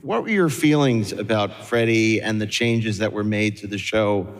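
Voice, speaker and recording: A man's voice: on the low side, moderate pace. A man hosting a live panel and putting questions to guests, a bit hesitantly. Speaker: panel host, interviewer. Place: large indoor hall, live panel event. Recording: echoey hall with long reverb.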